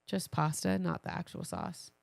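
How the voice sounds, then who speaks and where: A woman's voice: fairly low pitch, very animated, moderate pace, vocal fry, darkish tone, dry recording. A woman co-hosting a podcast, talking in a casual, conversational way with her partner. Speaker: podcast co-host.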